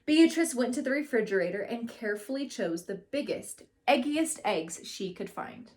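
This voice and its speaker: A woman educator giving an expressive read-aloud storytelling lesson for children, acting out the characters' lines. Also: on the high side, normal speed. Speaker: school educator reading to children.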